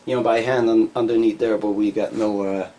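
A middle-aged man casually explaining shop work as a hobby machinist, in a halting, hands-on way. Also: lowish voice, fairly even intonation. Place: cluttered garage machine shop. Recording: noisy workshop ambience.

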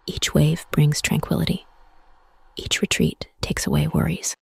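A woman leads a guided meditation in a very breathy, partly whispered voice. It sits low, with a gravelly fry at the edges. She speaks in soothing, measured phrases with room between them. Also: very animated, a little mellow, no room sound. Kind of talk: guided meditation, soft scripted narration.

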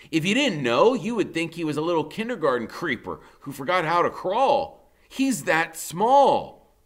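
A man's voice: mid-range voice, a bit bright. An American man in his fifties, a teacher reading a first-person story aloud with dramatic, conversational emphasis. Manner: dramatic, conversational, drawn-out emphasis on key words. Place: indoor classroom in front of a whiteboard.

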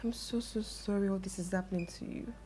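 A woman playing a caring young friend who softly comforts a heartbroken man. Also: mid-range voice, steady tone, brisk, a crowd in the background, natural voice. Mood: consoling. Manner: soft, soothing, partly whispered. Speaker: consoling friend.

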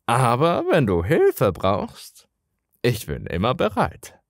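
A man voicing a mysterious older man in a horror story, slyly friendly, with a slow, drawn-out delivery. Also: medium pitch, wildly swooping pitch, no echo, studio quality, voice pushed up, full character voice. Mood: sly, offering help. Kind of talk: horror audio story character acting.

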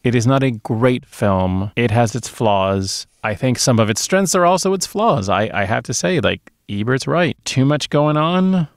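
A man's voice: mid-range voice, lively intonation, a little slow, hardly any pauses, no room sound. A man in his thirties or forties co-hosting a movie podcast, reviewing films casually on a close microphone. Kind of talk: casual film review chat. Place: small dark home studio. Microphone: close podcast microphone on a boom arm.

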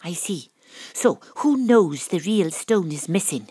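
An actress playing a wry, dogged private detective with a Welsh accent and a husky voice, in audio drama narration and dialogue. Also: female voice, lowish voice, expressive, a little slow, dark tone, no echo. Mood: businesslike, determined.

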